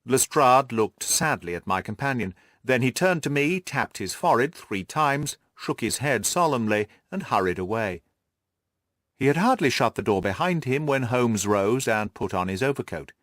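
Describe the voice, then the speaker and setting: A man's voice: medium pitch, lively intonation, moderate pace, a bit bright, no room sound, clean studio sound. A man reading scripted literary narration as an audiobook narrator.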